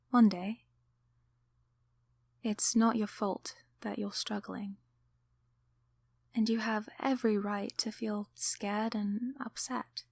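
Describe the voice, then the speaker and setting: Sleep paralysis demon played by a woman: gentle, sorry, comforting. Slow, half-whispered ASMR delivery, close and dry. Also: mid-range voice, no room sound. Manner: soft-spoken, often whispering, reassuring. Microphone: close microphone.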